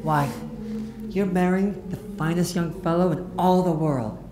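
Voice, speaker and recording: An actor playing a middle-aged father calmly reassuring his nervous daughter. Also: fairly high pitch, measured pace, lightly acted. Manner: calm, reassuring. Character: soothing father of the bride. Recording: echoey vintage film soundtrack with score.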